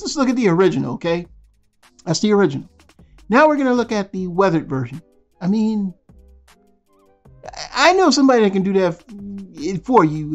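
Blunt, rambling man on a muffled mic. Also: sits high. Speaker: toy news channel host. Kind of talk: casual toy news commentary over still images. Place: voice-over, not in pictured place.

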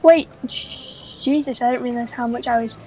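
A woman's voice: fairly high pitch, moderate pace, warm tone, poor audio. A young woman in casual gameplay chat, bantering playfully and putting herself down. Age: young adult. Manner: playful, self-deprecating bantering. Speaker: gaming video host. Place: small room at home, indoors.

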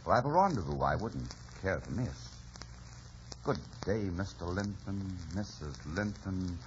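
An actor playing a bitter, passionate, tormented lover, intense and theatrical, in radio drama style. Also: male voice, deep voice, animated, unhurried, slight background noise. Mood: bitter, mocking. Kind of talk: 1950s radio drama acting. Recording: dull old radio broadcast, music behind.

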